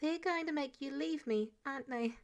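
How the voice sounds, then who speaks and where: A woman's voice: high voice, moderate pace, gravelly, voice pushed up. A woman voicing an anxious, guilt-ridden teenage superhero boy in a story reading, hesitant and subdued. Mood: uneasy. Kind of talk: character dialogue in fan fiction reading.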